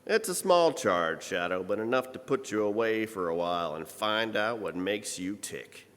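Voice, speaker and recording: An actor playing a gruff, wry American police commissioner in an old-time radio crime drama, performed live. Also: male voice, on the low side, lively intonation, normal speed, fairly bright tone. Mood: grudging, menacing. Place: church hall stage before an audience. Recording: echoey church hall live performance.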